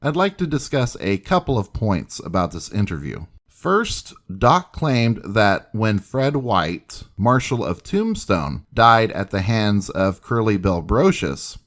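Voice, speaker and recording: A man's voice: on the low side, very animated, a little slow, hardly any pauses. A man hosting a history video, reading scripted documentary narration.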